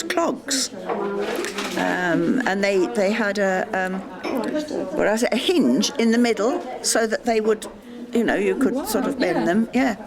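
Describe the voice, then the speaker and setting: An older woman, casually reminiscing in conversation. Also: fairly high pitch. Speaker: memory club member taking part in a drama. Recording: busy room with loud background chatter.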